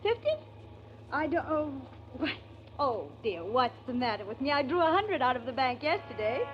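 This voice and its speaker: A woman's voice: high-pitched, expressive, normal speed. An actress playing a flustered, hesitant genteel young woman in a 1940s radio drama. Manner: fluttery, hesitant. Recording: muffled 1940s radio recording, faint hiss.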